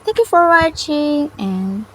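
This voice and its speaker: Slow-paced young Indonesian woman. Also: high-pitched, sing-song, poor audio. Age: young adult. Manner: halting, careful non-native English with uneven grammar. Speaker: university student. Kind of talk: prepared personal reflection to camera.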